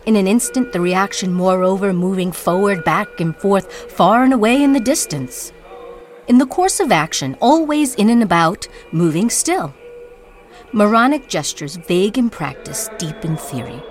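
A woman performing a dramatic spoken-word monologue as narrator, with theatrical, deliberate, incantatory phrasing. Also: mid-range voice, animated, faint music behind.

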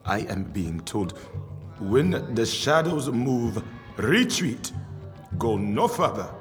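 An actor playing a mysterious, wry and ominous curse remover in a radio drama, speaking formally and cryptically with dramatic pauses. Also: male voice, medium pitch, big pitch swings, faint music behind. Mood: ominous warning.

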